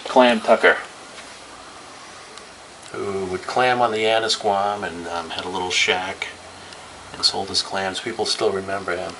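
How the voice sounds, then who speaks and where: A man's voice: low voice, fairly even intonation, measured pace, pauses often, bright, forceful tone, slight background noise, low-quality recording. An older man in his sixties explaining things casually as a shop owner, in short matter-of-fact statements. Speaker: gallery shop owner, interviewee. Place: small gallery shop indoors. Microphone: handheld camera a few feet away.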